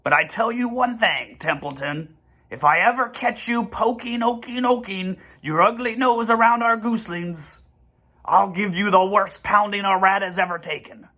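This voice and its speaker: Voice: mid-range voice, normal speed, sharp tone, voice pushed up. A performer voicing a protective, blustering male gander who repeats his words in a storybook reading. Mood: threatening. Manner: stuttering repetition of words.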